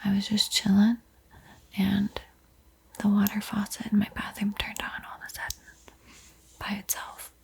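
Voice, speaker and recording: A woman hosting an ASMR art tutorial in a soft, breathy whisper, gentle and unhurried, with frequent pauses. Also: medium pitch, monotone. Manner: slow, gentle, pausing often.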